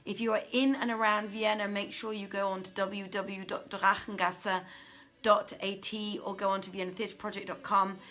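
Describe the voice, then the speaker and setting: A woman talks to her online audience in a casual, effusive way, with a medium-pitched voice. She sounds like a theatre person hosting a live reading, chatty and informal. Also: flat delivery, normal speed, a bit bright. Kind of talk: casual livestream chat.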